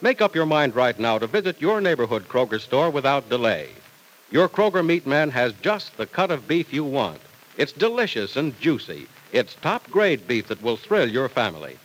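A man's voice: medium pitch, sing-song, normal speed, rough recording. An American man announcing in a scripted, emphatic, salesmanlike mid-century radio style. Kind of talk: 1940s-50s scripted radio announcing and advertising.

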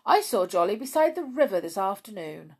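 A courteous little toy soldier, read by a woman storyteller, answering quickly and plainly. He reports what he saw, then briskly takes his leave. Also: medium pitch, sing-song, breathy edge. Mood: polite. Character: polite toy soldier, male.

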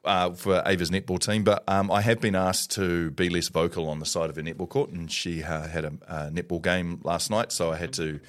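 A middle-aged man with a loud booming voice, co-hosting a casual morning show and telling a self-deprecating story. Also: little pitch movement, brisk, hardly any pauses. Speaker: co-host.

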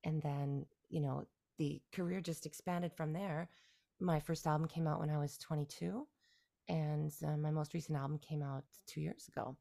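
A woman from Canada in her forties, a musician guest talking through her career in a relaxed, rambling way with fillers and restarts. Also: low voice, normal speed, gravelly. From Canada, near Toronto. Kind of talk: casual interview conversation.

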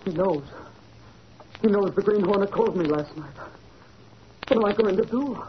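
A woman's voice: mid-range voice, moderate pace, natural voice. A woman playing an anxious but defiant married lady in a 1940s radio melodrama, with formal, dramatic delivery. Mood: panicked. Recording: muffled, noisy old radio recording.

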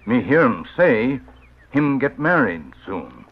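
An actor playing a stoic, loyal western sidekick who speaks terse, broken English in an old radio drama. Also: male voice, mid-range voice, big pitch swings, takes it slowly, breathy edge, exaggerated voice. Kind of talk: 1940s radio western acting. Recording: muffled, noisy old radio recording.

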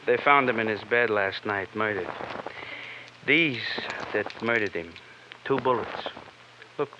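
An actor playing a nervous, philosophical male medical examiner, solemn and halting, stressing by repetition in 1950s radio drama style. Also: lowish voice, close to an everyday voice. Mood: morbid, intense. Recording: noisy, muffled old radio recording.

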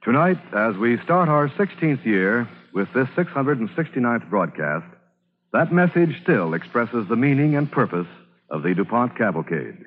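Animated, ceremonious male radio announcer. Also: mid-range voice, normal speed. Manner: polished, ceremonious. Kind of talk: formal 1950s radio announcing. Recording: muffled old radio, faint music.

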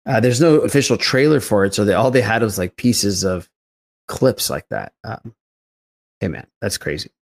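A man's voice: lowish voice, normal speed. A man hosting a casual pop culture podcast, talking in an informal, jokey, rambling way. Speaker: podcast host.

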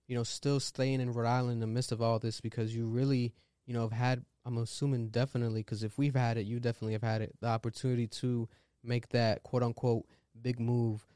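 A man's voice: mid-range voice, little pitch movement, fast talker, warm tone, clean tone, dry recording, studio quality. A man hosting a casual interview, hyped and affirming, with a slangy way of talking. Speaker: interviewer host.

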